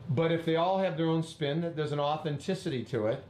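A man's voice: fairly high pitch, a little fast, darkish tone, a little hiss or hum. A man leading a casual lesson, asking prompting questions and tagging lines with "right?". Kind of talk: casual instructive talk. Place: basement room. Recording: echoey basement room, faint ambience.

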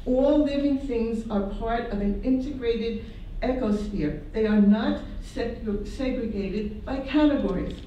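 A woman reading her academic paper aloud at a symposium in a university classroom, measured and careful, with a few restarts. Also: on the high side, dark tone, slight background noise. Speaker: symposium presenter. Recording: echoey classroom from far off.

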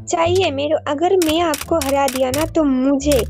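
A woman's voice: high voice, unhurried, vocal fry, a little mellow, faint music behind. A young woman on a game voice chat, pushy and teasing, then indignant as she argues with a friend. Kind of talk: casual game-lobby chat.

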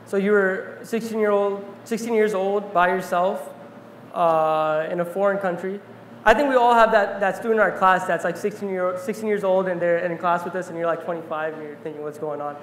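A young man giving an earnest talk on stage in a large hall, telling a story from his notes and pausing with fillers, then interviewing a guest. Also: high-pitched, steady tone, slight background noise. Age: young adult. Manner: earnest, reflective, pauses with fillers. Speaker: speaker and interviewer. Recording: roomy hall reverb, faint ambience.

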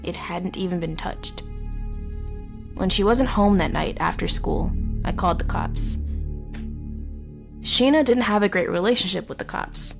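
A woman playing a wounded, wry, guarded teenage runaway in a halting, confessional audio drama monologue. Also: mid-range voice, gravelly, soft background music. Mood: bitter, resigned. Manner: halting, conversational, with pauses and wry asides. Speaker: narrator of audio horror story. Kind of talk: first-person dramatic monologue, confessional storytelling.

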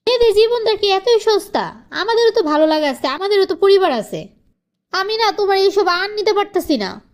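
Very high-pitched frustrated young wife acted by a woman. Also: big pitch swings, normal speed. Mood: indignant, resolute. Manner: plaintive, earnest, emphatic complaining. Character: frustrated young wife, pleading then defiant about independence. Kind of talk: cartoon family drama dialogue.

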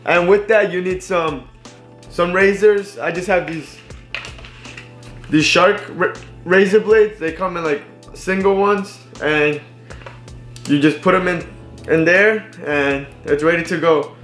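A man's voice: sits high, takes it slowly, pauses often, roomy sound, soft background music. A young man in his twenties, a barber, casually showing his tools in a living room to a fixed camera, with many fillers and restarts. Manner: relaxed, filler-heavy, restarts often. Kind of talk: casual tutorial. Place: indoors, living room with TV and couch.

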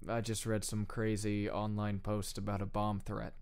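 A man reading first-person scripted audiobook narration in a low-key manner. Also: monotone, moderate pace, darkish tone, clean tone.

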